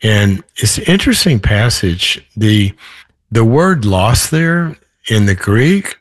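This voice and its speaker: A man with a low, hoarse voice, speaking slowly and thoughtfully as a guest in an interview. He wanders through his points and restarts his sentences. The sound is dry and close. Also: very animated, no echo. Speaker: author guest. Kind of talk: podcast interview.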